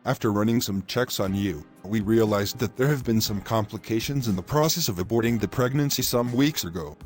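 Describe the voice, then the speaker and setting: A man's voice: fairly low pitch, brisk, faint music behind, lightly acted. Man acting a grave, apologetic doctor. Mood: grave, hesitant. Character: grave male doctor breaking bad news. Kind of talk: scripted moral-story drama.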